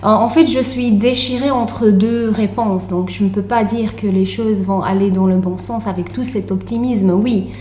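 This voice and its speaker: Mellow-voiced young woman, halting delivery. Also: medium pitch, steady tone. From Tunisia. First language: Arabic. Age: young adult. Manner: hesitant, halting delivery with repeated words. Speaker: interview guest, author and translator. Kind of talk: conversational interview answers. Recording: roomy echo, faint background noise.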